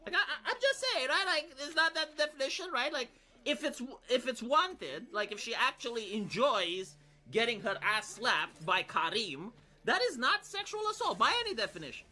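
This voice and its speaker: A man's voice: very high-pitched, big pitch swings, moderate pace, sharp tone. A man giving casual, off-the-cuff reaction commentary as a streamer, argumentative and sardonic, often throwing out rhetorical questions. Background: music behind the voice.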